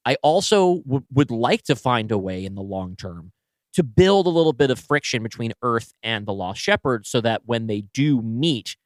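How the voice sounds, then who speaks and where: A man co-hosting a podcast, brainstorming story ideas in a casual, halting conversation as he thinks aloud. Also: mid-range voice, animated, no room sound. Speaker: podcast co-host and story writer.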